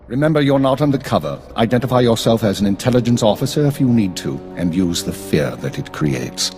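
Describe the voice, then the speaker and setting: An actor playing a stern, authoritative Imperial intelligence chief in a video game, speaking in a measured, formal, commanding way. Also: male voice, medium pitch, animated, music in the background, close to an everyday voice. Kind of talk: scripted video game dialogue.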